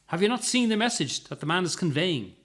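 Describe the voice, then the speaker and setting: A man's voice: sits high, very animated, brisk, fairly bright tone, voice pushed up, heavily acted. A man voicing a scolding, zealous woman with a pious, declamatory manner in a story reading. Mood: zealous, warning. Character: shrill, scolding, zealous woman brandishing a cross.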